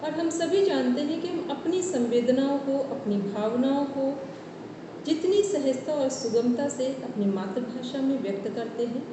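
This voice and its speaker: High-voiced woman giving a formal speech in an echoey room. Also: animated, moderate pace. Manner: formal, flowing, lists many words in a row. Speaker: invited guest speaker, college teacher. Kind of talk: formal speech at an online event. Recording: echoey room from a distance, noisy.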